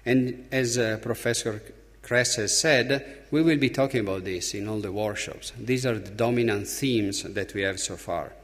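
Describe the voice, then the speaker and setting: A man from Italy with an Italian accent gives a conference speech as an opening speaker, emphatically, with halting, deliberate pauses. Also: fairly low pitch. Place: large conference hall. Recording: reverberant hall, long echo.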